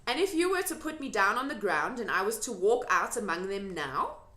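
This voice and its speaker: A woman voicing a curious, earnest little girl in a children's storybook, questioning and quick to be indignant. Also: medium pitch, big pitch swings, sharp tone, voice pushed up. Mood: wondering. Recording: echoey room.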